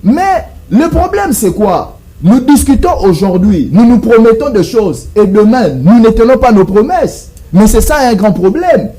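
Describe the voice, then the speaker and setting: A Congolese man argues as a guest in a political debate. His voice sits high and swings widely in pitch, with a mellow tone. He is rhetorical and emphatic, hammering repeated phrases and posing questions that he answers himself. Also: very animated, a little slow, few pauses. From Democratic Republic of the Congo. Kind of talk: impassioned televised political debate.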